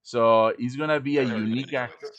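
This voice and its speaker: A man's voice: mid-range voice, fairly even intonation, brisk, fairly bright tone, lo-fi sound. A man hosting an informal livestream tutorial, chatty and explaining things step by step with frequent check-in questions. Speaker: host and instructor of a livestream tutorial. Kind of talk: informal live software tutorial.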